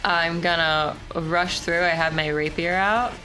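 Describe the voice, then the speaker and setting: A woman's voice: lowish voice, steady tone, measured pace, sharp tone, faint music behind, low-quality recording. A woman playing a tabletop role-playing game, casually narrating her character's actions.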